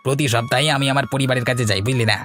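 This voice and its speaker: A poor, hardworking village fisherman, played by a man in a cartoon folktale. He is world-weary but talks rapidly, at a middling pitch. Faint music plays behind. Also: steady tone, a bit bright, close to an everyday voice. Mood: matter-of-fact. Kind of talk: cartoon fairy-tale voice acting.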